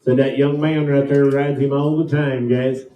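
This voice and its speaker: A man's voice: medium pitch, little pitch movement, normal speed, dull audio. An American man working as a livestock auctioneer, with rhythmic, repetitive patter and sales talk. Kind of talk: rapid auction chant mixed with spoken sales pitches. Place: indoor livestock auction ring. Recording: echoey PA in auction barn.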